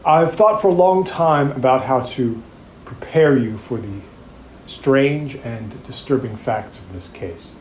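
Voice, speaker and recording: A man playing an earnest, grave plaintiffs' attorney in an audio drama, speaking formally and with deliberate pauses. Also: medium pitch, darkish tone, close to an everyday voice. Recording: roomy echo, faint background noise.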